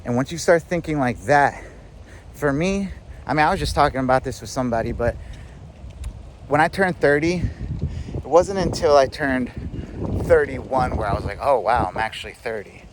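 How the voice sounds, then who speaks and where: A man's voice: medium pitch, moderate pace, pauses often, slight background noise, poor audio. A man in his thirties talking casually to the camera about growing up, rambling with lots of fillers. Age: 30s. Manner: rambling, filler-heavy, reflective. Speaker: vlogger. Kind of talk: casual self-help monologue.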